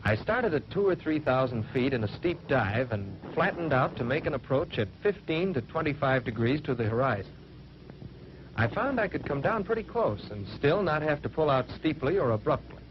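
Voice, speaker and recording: Earnest WWII pilot trainee, American, measured matter-of-fact narration, dull vintage film sound, clear noise. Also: male voice, fairly high pitch, sing-song. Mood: earnest, composed. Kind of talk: scripted first-person narration, 1940s training film. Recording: muffled, noisy vintage 1940s film soundtrack.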